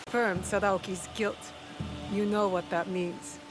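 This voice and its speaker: A woman's voice: mid-range voice, fairly even intonation, a little slow, loud music behind the voice, lightly acted, low-quality recording. Actress as terse, resolute young shinobi woman. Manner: terse, direct, serious. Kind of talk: video game cutscene dialogue.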